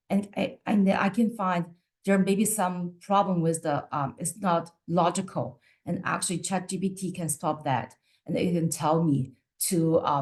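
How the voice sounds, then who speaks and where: Teacher talking casually in a lowish voice. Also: female voice. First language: not English. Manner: conversational, frequent "right?" and fillers. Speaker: teacher presenter. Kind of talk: webinar presentation.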